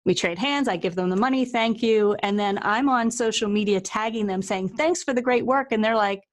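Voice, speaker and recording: A woman's voice: mid-range voice, brisk. A woman hosting an interview and talking in a casual, conversational style. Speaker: interview show host.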